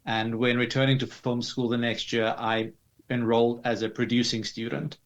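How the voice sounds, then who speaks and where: A man with a South African accent answering interview questions in a measured, explanatory way with formal wording. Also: mid-range voice, flat delivery, dull audio. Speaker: interview guest, digital artist.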